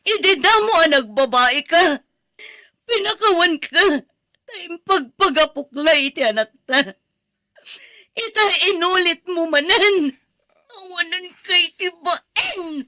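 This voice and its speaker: An actress playing an emotional, earnest wife in a radio drama, speaking with an Ilocano accent. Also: female voice, extremely high pitch, animated, slow, bright, forceful tone, dry recording, heavily acted. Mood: anguished, tearful.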